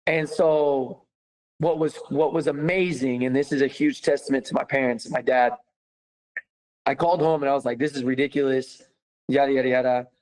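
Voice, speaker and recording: A man's voice: medium pitch, normal speed, poor audio. A young American man, a former footballer, telling his story in a casual interview with many fillers. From United States. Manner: animated, many fillers, laughs easily.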